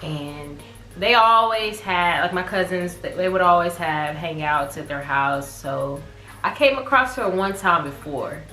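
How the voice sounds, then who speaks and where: A woman's voice: lowish voice, a little slow, pushed and bright, echoey room, soft background music, rough recording. A woman, co-hosting a casual story time vlog in a chatty, teasing way. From Texas, USA. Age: 20s. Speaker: vlog co-host.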